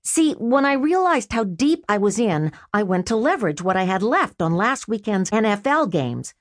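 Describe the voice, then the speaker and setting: A woman's voice: mid-range voice, big pitch swings, moderate pace, dry recording, studio quality, voice pushed up, close to an everyday voice. A woman voicing a feckless grown man who gambles, talking in a nervous, pleading way. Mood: sheepish.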